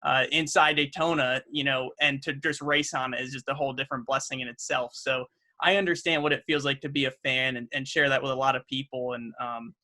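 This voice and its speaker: A man's voice: mid-range voice, steady tone, a little fast, sharp tone. A young man, a race car driver, answering podcast questions in an earnest, upbeat way, with many fillers and restarts. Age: young adult. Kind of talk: casual podcast interview.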